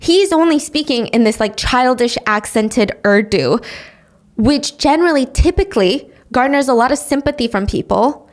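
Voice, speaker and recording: A woman's voice: high-pitched, animated, moderate pace. A woman hosting a true crime podcast, telling the story in casual chat with sarcastic asides and quoted voices. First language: English. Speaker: podcast host.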